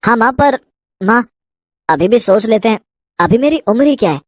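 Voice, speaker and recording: A woman's voice: fairly high pitch, animated, moderate pace, dry recording, studio quality. A woman voicing a frustrated 25-year-old son in a cartoon story, pleading and exasperated. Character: young man of 25, frustrated son.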